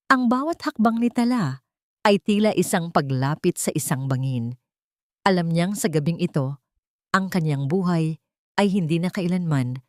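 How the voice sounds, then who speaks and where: A woman's voice: on the low side, dry recording, studio quality. A woman with a Filipino accent narrating a dramatic story from a script.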